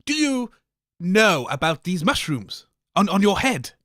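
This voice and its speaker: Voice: mid-range voice, big pitch swings, unhurried, fairly bright tone, dry recording, clean studio sound. Stammering cheeky comedy co-host. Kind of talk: comedy radio show banter.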